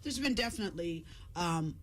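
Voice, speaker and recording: A woman's voice: on the low side, expressive, a little fast. Emphatic, conversational woman guest. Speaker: guest, actress and co-producer. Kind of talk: casual interview chat.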